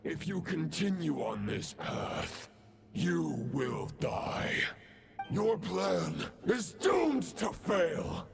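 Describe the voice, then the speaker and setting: Undead fantasy game knight, proud and grave, very gravelly, unhurried, formal old-world diction, music behind. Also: male voice, fairly high pitch, very animated, exaggerated voice. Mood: forceful, challenging. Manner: formal, archaic, solemn speech. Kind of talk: dramatic fantasy game voice acting.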